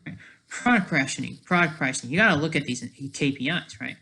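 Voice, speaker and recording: Rambling, off-the-cuff medium-pitched solo talker. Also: animated, a little fast, sharp tone. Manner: tags statements with "right?"; rambling, trails off mid-sentence. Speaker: amateur video creator.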